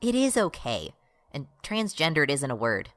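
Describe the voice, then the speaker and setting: A woman's voice: low-pitched, huge pitch swings, normal speed, no room sound, studio quality. A woman acting as an exasperated, righteous progressive activist in a satirical radio drama.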